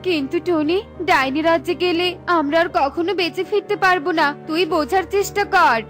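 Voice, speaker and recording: A woman's voice: very high voice, a little fast, a bit bright. A woman voicing a greedy, cowardly and jealous female bird in a cartoon who whines and schemes. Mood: fearful. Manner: whiny, scheming. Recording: with light background music.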